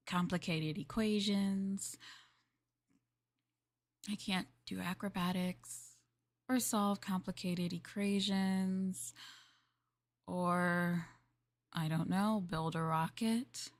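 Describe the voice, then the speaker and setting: A woman streamer playing a maid in a roleplay, chatting with viewers in a playful, teasing and politely formal way. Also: lowish voice.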